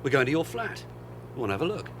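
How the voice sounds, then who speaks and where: An actor playing a shrewd, middle-aged police sergeant in a radio drama, dry, sarcastic and bossy. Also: male voice, mid-range voice, very animated, fast talker, background noise, lightly acted. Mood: dry, commanding. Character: shrewd, sardonic veteran London detective sergeant. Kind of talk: naturalistic radio drama acting.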